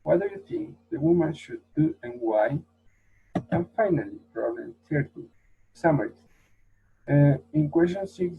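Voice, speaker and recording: A man explaining speaking exam tasks in a halting way with fillers. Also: on the high side, slightly rough. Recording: dull lo-fi recording.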